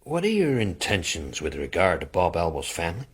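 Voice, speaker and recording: A formal businessman in a radio drama, very low and slightly husky. He is measured and courteous, yet insistent. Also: wildly swooping pitch, full character voice. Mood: polite, probing. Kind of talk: radio play acting.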